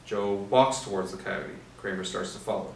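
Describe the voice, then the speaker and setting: A man's voice: lowish voice, moderate pace, gravelly. A man reading out scripted screenplay action and stage directions as the narrator. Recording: echoey room, distant mic, faint noise.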